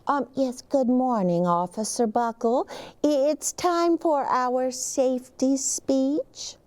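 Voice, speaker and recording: A woman's voice: high voice, takes it slowly, a little mellow, voice pushed up. A woman voicing a polite school principal from a storybook, hesitant and stammering, as if making a telephone call.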